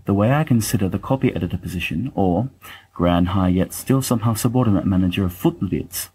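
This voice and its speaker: A man playing a wry, bookish young letter-writer, pedantic and affectionate, in a dramatic reading with a husky voice. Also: deep voice, fairly quick, mellow, a little hiss or hum, close to an everyday voice. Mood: dryly musing. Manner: pedantic, dryly self-deprecating, wordy.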